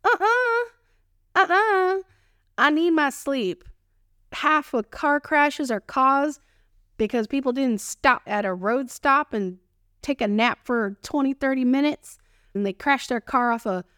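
A woman in her thirties with a Texan accent, hosting a solo podcast in a playful, expressive way with casual slang. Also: sits high, no echo, studio quality. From Texas, United States. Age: 30s. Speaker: podcast host.

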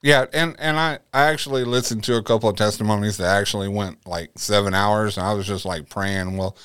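A man's voice: fairly low pitch, steady tone, a little fast, fairly bright tone, smooth, no room sound, clean studio sound. A man hosting a casual faith podcast, joking and rambling, correcting himself as he goes. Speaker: podcast host. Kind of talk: casual chat.

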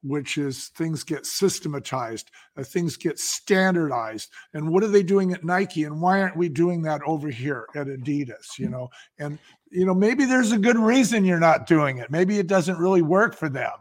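A man's voice: on the high side, lively intonation, moderate pace. An American man talking as an interview guest, telling stories conversationally and emphatically, with rhetorical questions. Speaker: interview guest, company founder.

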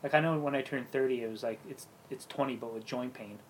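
A man's voice: mid-range voice, quick pace, a little hiss or hum, rough recording. A man in his late thirties chatting casually with friends, leaning on lots of "you know" and "yeah" fillers. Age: 30s. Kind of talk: casual chat.

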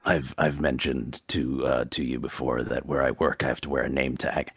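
A man calling in to a talk show, with a very deep voice, speaking slowly and hesitantly with many fillers and dry, deadpan humor. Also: vocal fry, husky, no echo. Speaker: caller. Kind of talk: casual call-in chat.

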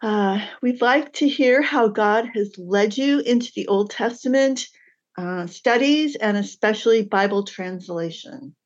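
A woman's voice: medium pitch, measured pace, muffled recording. Gracious woman podcast host. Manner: gracious, with soft affirming murmurs. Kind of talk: interview hosting.

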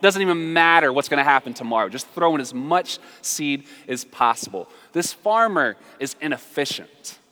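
An American man preaching a conversational, humorous sermon full of storytelling, emphatic and animated, repeating phrases for effect. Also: fairly high pitch, moderate pace, few pauses. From southern United States. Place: large reverberant room, live before a congregation. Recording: reverberant hall.

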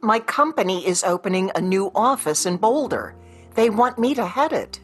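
An actress playing a patient, practical ex-wife in a radio play, with a natural, placating way of talking. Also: female voice, mid-range voice, sing-song, moderate pace, faint music behind, close to an everyday voice. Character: patient, practical career woman, an exasperated ex-wife.

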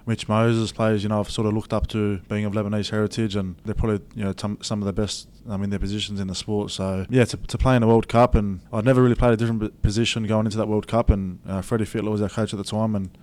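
A young man with an Australian accent giving relaxed, filler-heavy answers as an interview guest. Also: on the low side, quick pace, few pauses. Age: young adult. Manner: laid-back, many fillers and restarts. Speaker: rugby league player, guest.

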